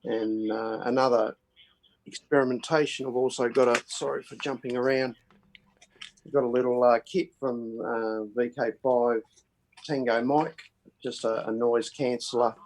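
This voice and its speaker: Aussie bloke on an ipad call, friendly, lots of ums and uhs, mid-pitched voice. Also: male voice, steady tone, normal speed, a little mellow, a little hiss or hum, low-quality recording. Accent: Australian. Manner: friendly, hesitant, lots of filler words. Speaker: ham radio net participant. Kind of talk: casual self-introduction on a video call. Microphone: tablet on a video call.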